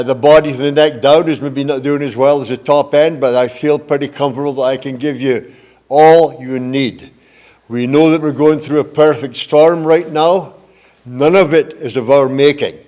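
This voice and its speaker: An older man speaks as a candidate at a forum, introducing himself in a measured, earnest, plain-spoken way. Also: medium pitch, fairly even intonation, a little mellow. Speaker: mayoral candidate. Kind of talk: prepared campaign speech at a forum.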